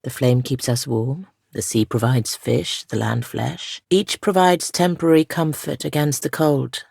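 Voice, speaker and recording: Soft, gravelly, low-voiced spirit guardian. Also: female voice, fairly even intonation, no echo, studio quality, heavily acted. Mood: reverent, wistful. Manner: measured, solemn, formal phrasing. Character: solemn, ancient female spirit guardian of a frozen shattered realm. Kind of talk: scripted fantasy game dialogue.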